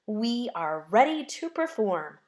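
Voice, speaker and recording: Woman reading as earnest, unhurried male high-wire master. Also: sing-song, a little mellow. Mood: earnest, instructive.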